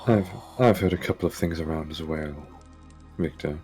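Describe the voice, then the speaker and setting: A man's voice: rumbling low, normal speed, mellow, faint music behind. A man playing a formal, gracious vampire nobleman, polite and stately, in a Minecraft roleplay. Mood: polite, measured.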